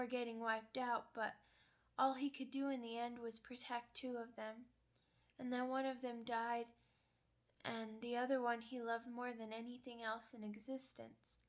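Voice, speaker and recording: A woman plays a young werewolf pup in an ASMR roleplay. The voice is high and childlike, and the speech comes very slowly, halting and hesitant. The pup sounds sweet, timid and earnest.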